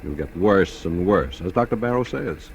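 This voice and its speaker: A needling, falsely solicitous man in a 1940s radio thriller. His voice is deep, with theatrical swoops in pitch. The old recording carries some noise. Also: animated, moderate pace. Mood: menacing, taunting. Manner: insinuating, theatrical. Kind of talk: 1940s radio drama acting.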